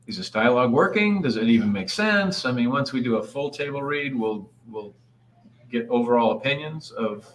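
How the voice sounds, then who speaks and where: A man's voice: mid-range voice, expressive, normal speed. A man who writes and narrates, casually discussing notes at a table read. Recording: roomy echo, noticeable background noise.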